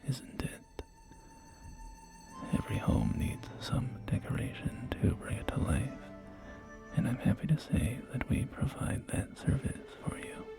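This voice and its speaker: A man playing a polite, helpful real estate agent in a post-apocalyptic ASMR roleplay, speaking softly and courteously with small hesitations. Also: medium pitch, wildly swooping pitch, heavily acted. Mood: gentle, accommodating. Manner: soft-spoken, hesitant, unhurried, courteous. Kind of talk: ASMR roleplay, whispered sales tour. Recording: close whisper over clear background music. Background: music and game audio.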